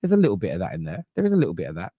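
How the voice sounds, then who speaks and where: A man hosting a football podcast, casual chat that keeps correcting itself and counting stats out loud. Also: deep voice, huge pitch swings, slightly rough, no room sound, muffled recording. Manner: rapid, self-correcting, counts stats aloud. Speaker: podcast host.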